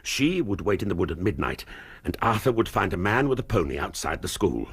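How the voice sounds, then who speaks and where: An actor in a radio period drama playing a proud, anguished upper-class English duke, formal and grave, halting under strain. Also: male voice, low-pitched, very animated, slightly rough, exaggerated voice. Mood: grave, regretful.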